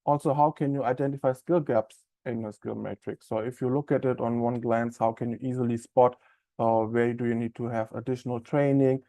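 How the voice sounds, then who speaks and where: A man from Germany with a German accent presenting a live software webinar in a friendly, conversational way with many ums and uhs. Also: mid-range voice, steady tone, a little fast, dry recording.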